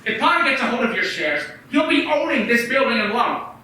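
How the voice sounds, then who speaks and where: Seorang pria memerankan bankir muda kota kecil yang tulus dan mendesak, dengan nada memohon yang hidup. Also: male voice, very high-pitched, a little fast, slight background noise, heavily acted. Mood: pleading. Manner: pleading, urgent, animated. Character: earnest young male small-town banker. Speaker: radio play actor. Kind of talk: community radio play acting. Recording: echoey room, far from the mic.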